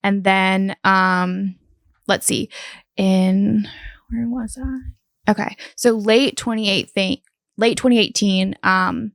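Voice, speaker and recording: Appalachian woman co-host, gravelly voice, dry close sound, laid-back true-crime chat. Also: mid-range voice, slow pace, no room sound. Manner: relaxed, with many um fillers. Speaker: podcast co-host. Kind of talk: casual true-crime discussion.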